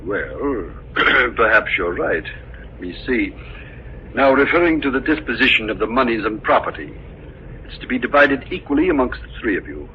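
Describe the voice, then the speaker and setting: A noisy, dulled vintage radio recording carries an actor as a formal male executor. He speaks at a medium pitch, precise and fussy, with a hesitant, dutiful air. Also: sing-song, normal speed, lo-fi sound, close to an everyday voice. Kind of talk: 1940s radio drama acting.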